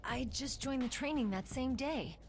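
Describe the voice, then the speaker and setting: An actress playing a young American rookie soldier in a video game, feisty and wary. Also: female voice, high-pitched, normal speed, slight background noise, close to an everyday voice. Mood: frank, teasing. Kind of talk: video game cutscene acting. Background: game music.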